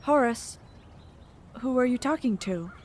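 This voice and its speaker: A woman's voice: a little high, animated, unhurried. A woman playing a polite, inquiring young lady of a wealthy house in an old-time radio drama. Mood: curious, obliging.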